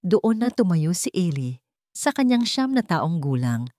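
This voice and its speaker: A woman narrating a scripted dramatic story in a solemn, deliberate manner. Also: fairly low pitch, sing-song, dark tone, dry recording.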